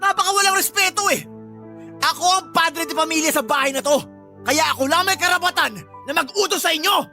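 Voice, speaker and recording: A man's voice: very high voice, a little fast, creaky, pushed and bright, faint music behind, full character voice. A man playing a belligerent, boastful stepfather in a radio drama, with a Filipino accent and a confrontational, domineering way of talking. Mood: angry, bullying. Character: belligerent, boastful live-in stepfather, quick to bully.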